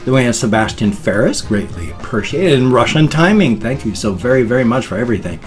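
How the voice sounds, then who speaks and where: Middle-aged American male vlogger, unscripted and dorky, animated pitch, light music underneath. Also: fairly quick. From United States. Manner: rambling, dorky. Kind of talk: casual unscripted vlog chat.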